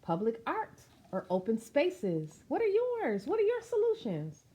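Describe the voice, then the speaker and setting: A woman's voice: high voice, wildly swooping pitch, unhurried, mellow. A woman reading a children's picture book aloud, speaking expressively and directly to young listeners with chatty asides. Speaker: storytime reader.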